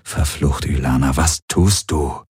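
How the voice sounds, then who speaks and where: A man's voice: very deep voice, unhurried, a little mellow, breathy edge, no echo, clean studio sound, pitched below the performer's own voice, exaggerated voice. A man voicing a desperate, hot-tempered male space captain in an audiobook, urgent and emphatic. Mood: despairing.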